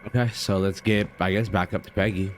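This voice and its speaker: Low-voiced American man gaming. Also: steady tone, fairly quick, slight background noise. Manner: slangy, informal, thinking aloud. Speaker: gaming streamer. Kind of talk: casual game commentary.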